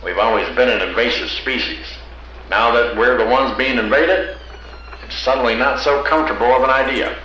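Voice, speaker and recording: A man's voice: mid-range voice, normal speed, heavy reverb, highs cut off, noisy background, natural voice. A man playing an earnest, matter-of-fact believer arguing his case in an audio drama. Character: earnest, reasoning male UFO believer.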